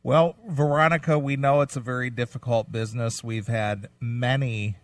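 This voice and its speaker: A man's voice: mid-range voice, fairly even intonation, moderate pace, no room sound. A man hosting a radio interview who asks blunt, direct questions. He speaks from some distance from the mic. The room sound is dry. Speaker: radio show host.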